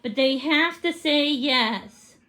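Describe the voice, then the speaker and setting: A female reader as eager, high-voiced young boy. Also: slow pace, pushed and bright, exaggerated voice. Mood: sheepish.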